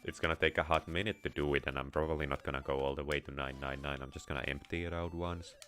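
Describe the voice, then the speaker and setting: A man with an extremely deep voice and a Finnish accent blended with American and a hint of Russian talks over a game. He rambles casually and wryly, swearing now and then. Faint music runs in the background. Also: fairly even intonation, quick pace, pushed and bright. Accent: Finnish, with American and slight Russian touches. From Finland. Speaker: let's play streamer. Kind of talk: casual gameplay commentary.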